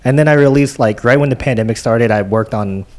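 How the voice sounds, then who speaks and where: Man chatting casually, medium pitch. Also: a little fast. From California, United States. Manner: casual slangy speech with mild swearing. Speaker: DJ and podcast participant. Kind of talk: casual podcast chat.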